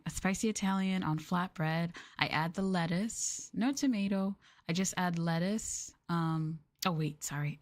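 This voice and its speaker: An American woman streamer chatting casually and softly to her viewers in an expressive, ASMR-style live chat. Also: fairly low pitch. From United States.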